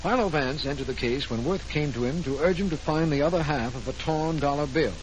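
An actor playing a formal, measured district attorney in 1940s radio drama style. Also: male voice, fairly high pitch, close to an everyday voice. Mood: formal recap. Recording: noisy old 1940s radio recording.

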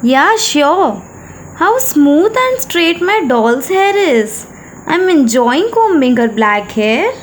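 An excited young girl from a children's storybook, acted out by a woman. Her voice is very high and swings up and down in a bubbly sing-song. She speaks slowly and cheerfully, like an elder sister at play. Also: slight background noise, full character voice, rough recording.

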